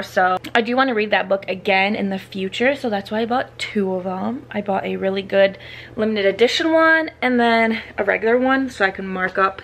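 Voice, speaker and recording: Slightly hoarse chatty woman in her twenties. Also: mid-range voice, fairly bright tone. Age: 20s. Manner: chatty, rambling, lots of "like". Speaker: lifestyle vlogger. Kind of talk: casual vlog chat. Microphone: handheld compact camera.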